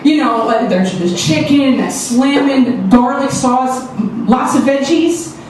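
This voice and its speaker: A woman's voice: sits high, slow. A woman performing a stand-up comedy set with a brash, punchy delivery and crude punchlines. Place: small comedy club stage. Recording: distant echoey club recording with background noise.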